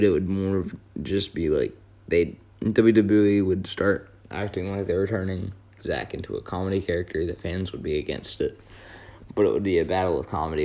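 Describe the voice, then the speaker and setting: Hoarse young man, very low voice. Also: dark tone. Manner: rambling, self-correcting, many fillers. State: hoarse, voice strained from yelling. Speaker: wrestling video host. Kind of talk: casual fantasy-booking commentary.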